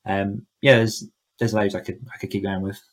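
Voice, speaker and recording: Low-voiced man chatting as a guest. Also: flat delivery, normal speed. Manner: enthusiastic, effusive in recommending. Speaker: podcast guest, horror author and coach. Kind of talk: casual interview chat.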